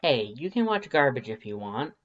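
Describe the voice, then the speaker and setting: An expressive audiobook narrator with a middling pitch, reading fiction in a wry, conversational manner. The home recording sounds a bit dull. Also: normal speed. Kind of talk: expressive scripted story narration.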